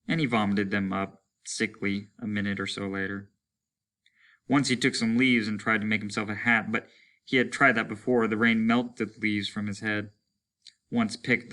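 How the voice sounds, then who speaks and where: A man's voice: fairly low pitch, sharp tone. A man reading fiction aloud as a teacher, in a dramatic narrator's style. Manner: acts out dialogue with raised voices.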